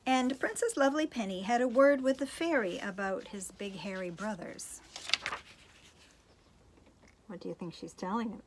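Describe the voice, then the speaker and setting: A woman's voice: mid-range voice, moderate pace, long pauses, a little hiss or hum, poor audio. A woman reading a children's picture book aloud, playful and chuckling, with asides to her listeners. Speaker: storytime reader, teacher.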